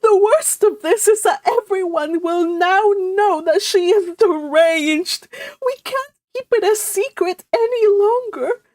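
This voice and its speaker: Woman putting on a shrill weepy mother, squeaky high voice, swooping wildly, sobbing, fussy. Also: expressive, a little slow, no room sound. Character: hysterical weepy middle-aged mother, fussy.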